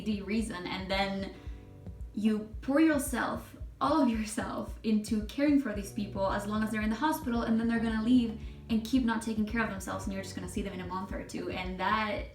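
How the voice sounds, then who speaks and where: A woman's voice: mid-range voice, a little mellow. A young adult woman from Chile, a nursing vlogger, talking casually and expressively to camera, laughing often. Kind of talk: casual vlog talk to camera. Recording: echoey room, mic at a distance.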